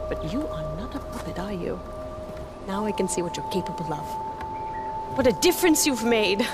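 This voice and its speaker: A fierce, ambitious young rebel leader in a video game cutscene, pushing her case with forceful conviction. Her voice sits at a middle pitch for a woman. A loud orchestral game score plays under her. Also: sing-song, moderate pace, loud music behind the voice. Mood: persuasive. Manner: forceful, impassioned and persuasive.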